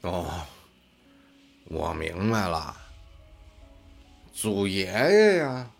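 Husky, low-voiced casual big brother male actor. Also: sing-song, very slow, faint music behind, heavily acted. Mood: tipsy, explaining. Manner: colloquial, offhand. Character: casual, curious man, big brother in sci-fi future. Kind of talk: radio drama dialogue.

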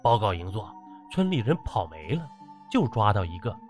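A man's voice: fairly low pitch, sing-song, normal speed, darkish tone, soft background music. A man voicing a fawning, servile army officer who reports to his commander in an audiobook. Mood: deferential. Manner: deferential, ingratiating. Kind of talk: audiobook character acting.

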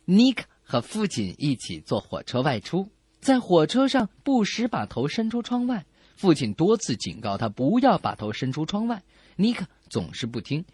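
A woman co-hosting a comedy radio show, telling scripted jokes in an animated way and acting out the dialogue. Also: sits low, slightly rough, no room sound.

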